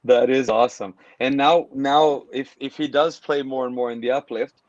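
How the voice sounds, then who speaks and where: A man's voice: medium pitch, fairly even intonation, normal speed, darkish tone, no room sound, dull audio. A man from Brazil hosting a casual video call interview, talking in a friendly, rambling way with restarts. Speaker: podcast host.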